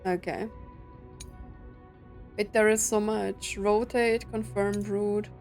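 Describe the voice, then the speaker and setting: A woman streamer gives casual commentary on a game she is playing. She thinks out loud in a mid-pitched voice, with game music running behind her. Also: level tone, takes it slowly. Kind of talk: casual gameplay commentary, reading on-screen text aloud. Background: video game music.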